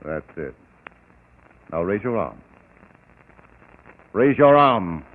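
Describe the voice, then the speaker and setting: Brief: a silky villainous doctor, lively pitch swings, tinny broadcast with faint hiss. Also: male voice. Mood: coldly menacing. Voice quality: smooth, menacing, deliberate. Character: murderous doctor, cold, smooth and menacing. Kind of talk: 1940s radio acting.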